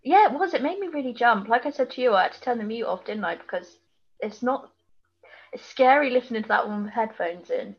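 A woman's voice: on the high side, expressive, a little fast, husky, muffled recording. A British woman co-hosting a podcast, bantering casually in a chatty, informal and teasing way. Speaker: podcast co-host. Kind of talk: casual banter about a TV episode.

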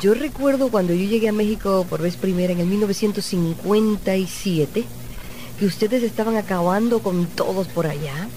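A woman's voice: mid-range voice, normal speed, mellow. A woman hosting a radio program, narrating a group's history and interviewing a guest with open enthusiasm and admiration. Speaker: radio show host.

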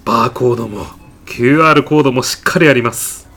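A man's voice: mid-range voice, fast talker, sharp tone, faint music behind. A man playing a pushy, eccentric, absurdly self-assured supermarket customer in a comedy radio drama, arguing like an insistent salesman. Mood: cajoling, insistent. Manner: insistent, indignant salesman-like patter. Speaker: podcast actor.

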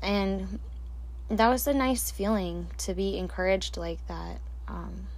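A woman hosting a solo podcast alone at night, talking slowly and calmly into a microphone in a soft, soothing voice with quiet laughs. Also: medium pitch, low-quality recording. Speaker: podcast host. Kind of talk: solo reflective podcast monologue. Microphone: handheld or desk microphone, alone at night.

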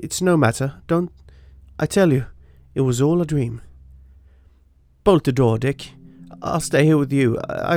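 A man reading a story, voicing a frightened young gentleman who stammers and loses his breath. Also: on the high side, voice pushed up.

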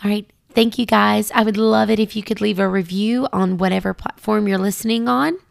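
A woman hosting and reading children's storybooks aloud in an expressive, simple way for young children. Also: mid-range voice, brisk, vocal fry. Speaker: children's storybook podcast host and reader.

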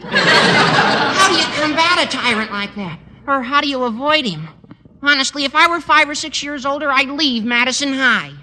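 An actor playing an eager, earnest teenage schoolboy in an old radio comedy, gushing and long-winded. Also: male voice, very high-pitched, moderate pace, over a noisy crowd. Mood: earnest indignation. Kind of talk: 1940s-50s radio comedy acting. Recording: old radio recording, reverberant, faint noise.

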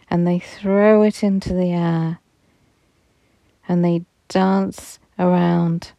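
A woman guides a visualization meditation with a soft, soothing manner, her voice low-pitched and warm. She speaks very slowly, letting each phrase settle, and at times sinks nearly to a whisper. The recording is close and dry, with no room sound. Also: no echo.

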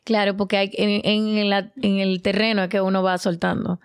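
A woman hosting a podcast, chatting in a lively, playful and affectionate way. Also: medium pitch, normal speed, smooth. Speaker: podcast host. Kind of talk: casual podcast chat.

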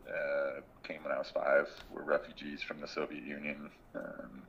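Man in his thirties, extremely low voice, bright edge, reflective and halting, muffled lo-fi recording. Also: completely flat delivery. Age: 30s. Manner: thoughtful, reflective, pauses often mid-sentence. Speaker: guest. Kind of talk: casual conversation.

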